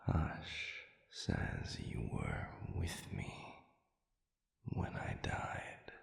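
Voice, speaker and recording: A broken male demon, acted by a man, speaks extremely slowly in a breathy half-whisper, with echo around the voice. He is anguished and formal, groping for his lost memory in halting phrases, as in an intimate roleplay audio drama. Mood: searching, demanding. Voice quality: gravelly, breathy.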